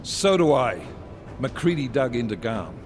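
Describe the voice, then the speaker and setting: A brisk police chief. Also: male voice, mid-range voice, big pitch swings, music in the background. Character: brisk police task force chief, man, adult. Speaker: video game character. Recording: game cutscene with score.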